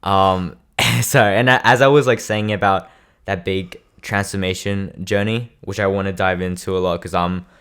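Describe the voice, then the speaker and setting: A man's voice: low-pitched, fairly even intonation, normal speed, a bit bright, smooth. A young man, hosting a casual podcast chat with eager affirmations. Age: late teens. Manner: chatty, eager, frequent affirmations. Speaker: podcast host.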